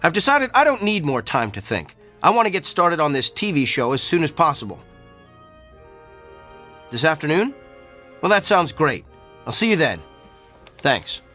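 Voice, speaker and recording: A man's voice: on the high side, very animated, moderate pace, pauses often, bright, forceful tone, soft background music. An actor playing an arrogant, fame-hungry male celebrity doctor in a radio drama, with a self-important manner. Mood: eager. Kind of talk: theatrical radio drama acting.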